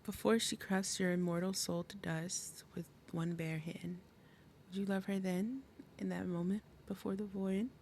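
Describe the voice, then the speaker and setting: A woman playing a fierce, protective demon mother and princess of wrath in an ASMR roleplay, with dramatic, breathy, menacing delivery. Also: medium pitch, fairly even intonation, normal speed, darkish tone, slight background noise, lightly acted.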